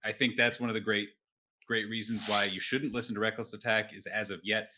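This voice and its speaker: Bright-voiced, lowish man bantering dryly. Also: level tone, brisk, a little hiss or hum. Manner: dry, wry joking. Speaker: player on an actual-play podcast. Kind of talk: casual banter.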